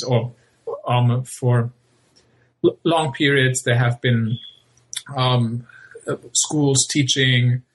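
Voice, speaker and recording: A man from Germany in his thirties, appearing as a guest author on an interview show. He speaks in a measured, careful way, pausing often on "um." His voice has an ordinary male pitch. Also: poor audio. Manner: measured, many ums.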